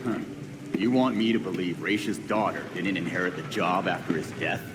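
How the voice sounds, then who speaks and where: A man performs a stern vigilante for a game cutscene. He sounds suspicious and terse, fairly low in pitch, with clear ambient noise behind him. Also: fairly even intonation, moderate pace, lightly acted. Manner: terse, challenging. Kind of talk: video game cutscene acting.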